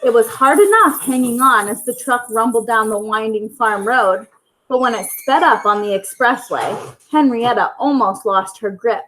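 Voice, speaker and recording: A woman with a fairly high voice tells a story for children. She reads aloud as a school storyteller. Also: moderate pace, pushed and bright, a little hiss or hum, rough recording.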